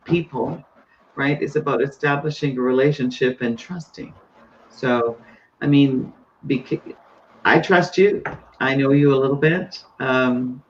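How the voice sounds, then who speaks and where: A woman hosting a live webinar interview, talking in an enthusiastic, effusive way. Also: low-pitched. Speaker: webinar host. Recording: dull lo-fi webinar audio with faint noise.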